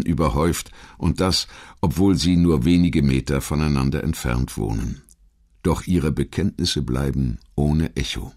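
A man reading scripted biographical narration as a documentary narrator, in a measured, articulate manner. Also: sits low, a little mellow.

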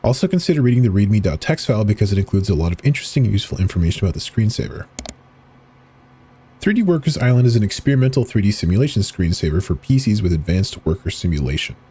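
A man's voice: on the low side, mellow, husky. A man reading web pages and installer text aloud for a horror video, adding short casual asides as he clicks through. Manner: reads on-screen text aloud, with brief asides. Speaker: horror game video narrator. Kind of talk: dramatic reading of on-screen text.

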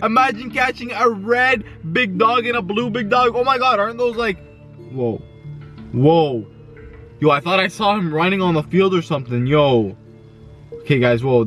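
A man's voice: sits high, a bit bright. Hyped young man vlogging from his car. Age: 20s. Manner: hyped, exclamatory, lots of yo and oh my god. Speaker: YouTuber. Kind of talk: excited vlog chat. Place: parked car, indoors. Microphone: camera facing him in car.

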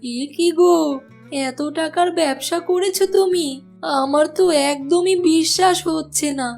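A woman's voice: extremely high pitch, measured pace, darkish tone, soft background music. A woman voicing a worried, devoted young village wife in a cartoon. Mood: amazed.

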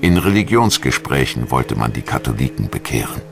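Very deep, gravelly male documentary narrator. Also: very animated, brisk. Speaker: documentary narrator and voice-over translator. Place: studio voice-over. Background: music.

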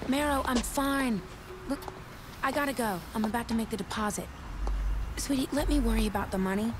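A woman's voice: fairly high pitch, brisk, noisy background, natural voice. A woman voicing a curt, haughty young woman. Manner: curt, dismissive.

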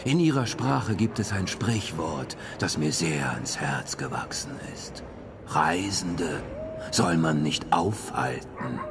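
A man playing a courteous, menacing old vampire count in a radio drama, slow, mock-polite and gravelly. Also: animated, background noise, heavily acted. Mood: coolly courteous menace. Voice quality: deep, gravelly.

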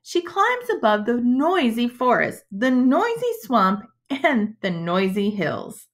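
A woman reading a storybook aloud to young children as a schoolteacher, in a deliberate, expressive manner. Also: fairly high pitch. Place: indoors, webcam screen recording.

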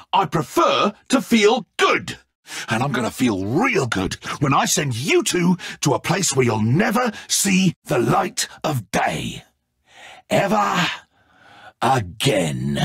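An actor playing a petty, egotistical, godlike villain in a video game, smooth and theatrical, with condescending mock friendliness. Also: male voice, high voice, fairly bright tone, dry recording, clean studio sound, heavily acted.

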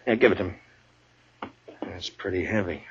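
An actor playing a steady, commanding marshal with a terse, authoritative manner in a 1950s radio western. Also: male voice, low voice, normal speed, background noise, lightly acted. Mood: grim, businesslike. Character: steady, commanding male Old West U.S. marshal. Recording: dull vintage 1950s radio recording.

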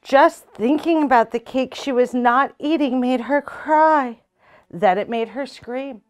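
A young woman reads a picture book aloud in a small room, taking her time over each line with expressive, unhurried delivery. Her voice sits slightly high for a woman. Faint music plays softly behind her. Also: clear, resonant tone. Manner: slow, expressive read-aloud with character voices. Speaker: storytime reader.

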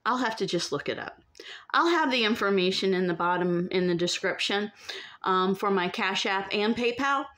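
Casting: middle-aged female vlogger, mid-pitched voice, informal and halting, talking into a handheld phone. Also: lively intonation, fairly bright tone. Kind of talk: casual update to camera. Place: inside an empty converted school bus. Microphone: phone at arm's length.

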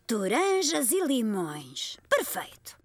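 Kindly cartoon granny, high voice, very animated, European Portuguese accent, encouraging. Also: female voice, takes it slowly, no room sound, heavily acted. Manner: encouraging, expressive. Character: cheerful, kindly cartoon grandmother. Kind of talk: children's cartoon acting.

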